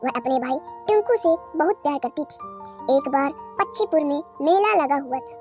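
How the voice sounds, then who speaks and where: A woman's voice: high voice, animated, moderate pace. A woman narrating a scripted children's cartoon story. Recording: dull audio over soft music.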